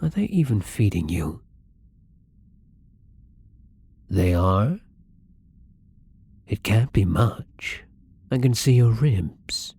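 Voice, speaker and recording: A man playing a newly turned young vampire in an intimate ASMR roleplay, apologetic and hungry, speaking softly and hesitantly with a husky, breathy voice that often drops to a whisper. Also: on the low side, big pitch swings, takes it slowly, pauses often, full character voice. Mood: concerned, gentle.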